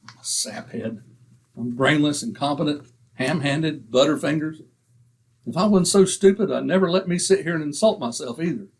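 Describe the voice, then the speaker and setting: A man playing a brash, boastful husband in an old-time radio comedy, excitable and self-mocking, with a husky voice. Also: on the high side, normal speed. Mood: blustery. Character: blustering boastful middle-aged husband. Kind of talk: 1940s radio acting.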